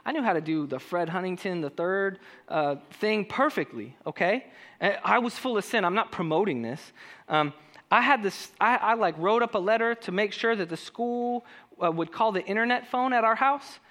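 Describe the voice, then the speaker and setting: Male pastor, naturally high voice, ringing tone, lively sermon delivery that keeps asking the room questions. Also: moderate pace. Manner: animated preaching with rhetorical questions to the congregation.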